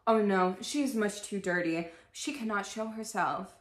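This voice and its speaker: A woman's voice: medium pitch, moderate pace, voice pushed up, close to an everyday voice. A woman playing a cruel storybook mother who gives coaxing, commanding orders. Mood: pushy. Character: cruel, pushy storybook mother urging her daughters. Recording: echoey room.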